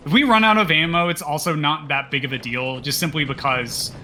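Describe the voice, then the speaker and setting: A man's voice: fairly high pitch, brisk, sharp tone, soft background music. A man co-commentating a live speedrun, explaining the game in a casual way.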